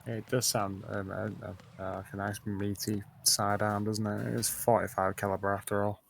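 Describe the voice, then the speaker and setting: A man's voice: on the low side, little pitch movement, moderate pace, gravelly, background noise. A man co-commentating on a game stream in casual, conversational chat.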